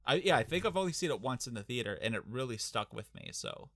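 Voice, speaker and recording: Brief: young Midwestern male co-host, mid-pitch, stop-start delivery packed with "uh"s, dry close-miked sound. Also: animated, fairly bright tone, no room sound. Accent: Midwestern American. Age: young adult. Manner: halting, many fillers and restarts. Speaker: podcast co-host. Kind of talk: casual movie podcast chat.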